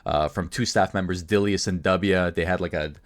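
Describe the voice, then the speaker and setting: A man's voice: low-pitched, fairly even intonation, normal speed. A man hosting a casual podcast, talking in a conversational way. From Canada. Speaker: podcast host.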